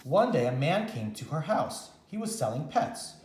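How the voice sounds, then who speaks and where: A man reads a children's picture book in a very animated, swinging voice. He uses dramatic pauses for young listeners, and the room adds a noticeable echo. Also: on the high side, slight background noise. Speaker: teacher reading a children's story. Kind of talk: animated read-aloud storytime narration.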